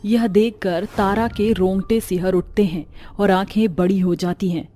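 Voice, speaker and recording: A woman's voice: mid-range voice, fairly even intonation, unhurried, warm tone, faint music behind. A woman narrating a scripted cartoon story in a dramatic storytelling style.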